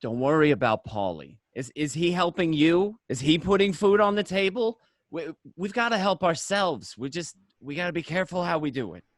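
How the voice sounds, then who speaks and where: Stammering, animated gangster acted by a man. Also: a little high, moderate pace, no echo, close to an everyday voice. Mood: insistent. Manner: stammering, insistent, repeats words. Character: defensive, hustling young male gangster, eager to reassure. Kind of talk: amateur radio drama table read.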